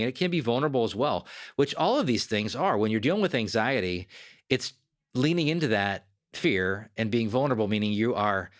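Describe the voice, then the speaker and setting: A man's voice: fairly low pitch, a bit bright. A man hosting a self-help podcast, speaking in an earnest, conversational coaching manner straight to the listener. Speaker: podcast host.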